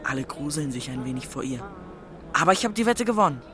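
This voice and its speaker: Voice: medium pitch, sing-song, fast, music in the background, lightly acted. An actor playing a wary, doubtful thirteen-year-old boy who questions and argues back in a radio play. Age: child figure of thirteen.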